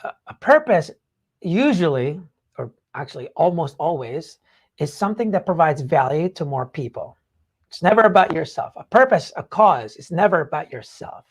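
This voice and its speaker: Man casually talking motivation, rambling and informal. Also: sits high. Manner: informal, rambling explanations peppered with "like" and "you know". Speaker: podcast host.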